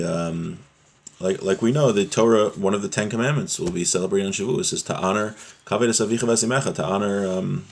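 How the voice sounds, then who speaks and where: A man's voice: low voice, vocal fry. A man giving a religious lesson, reading Hebrew text aloud and explaining it phrase by phrase in English. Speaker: religious teacher.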